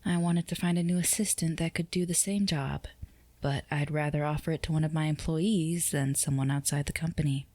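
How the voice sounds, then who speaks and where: Woman playing female boss, low pitch, flirty, composed, formal, unhurried teasing delivery, ASMR roleplay, dry sound. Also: fairly even intonation, mellow, no echo. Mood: composed, persuasive. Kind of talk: scripted ASMR roleplay addressed to the listener.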